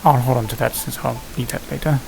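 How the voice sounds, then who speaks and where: A gamer giving casual gameplay commentary, muttering and thinking aloud to themselves in a gravelly voice. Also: mid-range voice, fairly even intonation, fast, darkish tone. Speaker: gamer hosting a gameplay series. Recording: hissy, noisy home recording.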